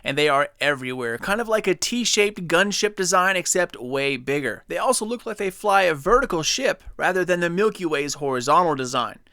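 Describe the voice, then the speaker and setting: A young man casually breaking down a video game trailer for his viewers, chatty and excited. His voice is bright, smooth and slightly high. The close mic sounds dry, with no room behind him. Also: no echo. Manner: enthusiastic, chatty, speculative. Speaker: gaming channel host.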